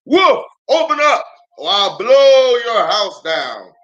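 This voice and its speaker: A man's voice: very high voice, big pitch swings, takes it slowly, highs cut off, pitched above the performer's own voice, heavily acted. A man voicing a hungry, boastful, somewhat bad male wolf in a children's storybook. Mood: blustering, demanding. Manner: loud, boastful, demanding.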